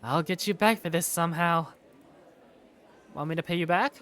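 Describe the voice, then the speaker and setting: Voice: mid-range voice, moderate pace, a bit bright, lightly acted. A performer voicing an awkward, easily flustered male student who narrates his school day in a hesitant, wry first-person style. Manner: hesitant, wry inner monologue. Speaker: narrator and main character of a visual novel. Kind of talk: first-person visual novel narration and dialogue.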